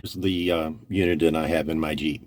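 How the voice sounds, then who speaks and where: A man's voice: rumbling low, steady tone, fairly quick, darkish tone. A man giving a casual, plain-spoken, unscripted product walkthrough as host, recorded on a phone. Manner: plain-spoken, informal, explanatory. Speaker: host of an off-road radio gear overview. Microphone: phone used for filming. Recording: noisy phone camera audio.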